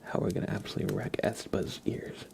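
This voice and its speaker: A man doing a whispered ASMR livestream, chatting to viewers in a breathy, playful, teasing way with dry humor. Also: fairly low pitch, steady tone, fast, darkish tone, a little hiss or hum. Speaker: ASMR live streamer.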